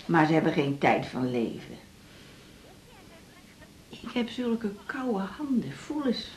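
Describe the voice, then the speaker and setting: A woman's voice: on the low side, sing-song, slow, a little mellow, slight background noise. An actress playing a lonely, plain-spoken older woman who is a hospital patient in a radio drama. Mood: plaintive, chatty. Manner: plain-spoken, wheedling, folksy. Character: chatty, lonely, frail but cheeky older female hospital patient. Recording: roomy, echoing radio drama studio.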